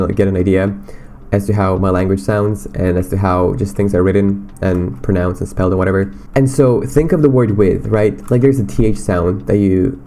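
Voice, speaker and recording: A man's voice: low-pitched, dark tone, a little hiss or hum. A young man from Romania with a slight Romanian accent, rambling in a casual, self-deprecating way on his solo podcast. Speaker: podcast host.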